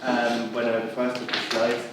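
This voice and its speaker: A man's voice: mid-range voice, completely flat delivery, measured pace. Middle-aged German-accented man giving a chatty talk, noisy room in the background. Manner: chatty, many fillers and restarts. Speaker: university lecturer. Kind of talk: conference lecture. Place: large conference hall. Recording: echoey hall, voice from far off, background noise.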